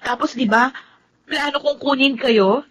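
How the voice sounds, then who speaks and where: A woman's voice: sits high, unhurried. A woman with a Filipino accent playing a devoted, playful teenage daughter of nineteen in a radio drama, earnest and girlish. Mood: excited, hopeful. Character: devoted, playful nineteen-year-old daughter with big dreams.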